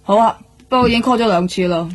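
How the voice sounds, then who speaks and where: An actress playing an informal, helpful police station colleague in a Hong Kong Cantonese radio drama, with a husky voice. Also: female voice, on the high side, quick pace, lightly acted. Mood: fretting.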